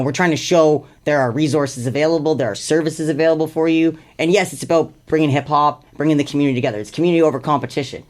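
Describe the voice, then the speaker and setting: A music artist from Canada with a Canadian accent, chatting casually in an interview in an earnest, talkative way. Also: mid-range voice. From Hamilton, Canada. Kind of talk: casual chat.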